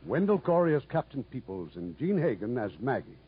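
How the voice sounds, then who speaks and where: A man's voice: mid-range voice, sing-song, fairly quick, breathy edge. A man announcing formally in the style of a 1950s radio theater presenter. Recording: muffled 1950s radio broadcast with music.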